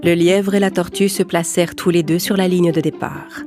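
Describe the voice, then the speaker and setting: A woman's voice: lowish voice, fairly even intonation, moderate pace. A woman narrating a scripted fable for children as a storyteller. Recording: clear music bed behind narration.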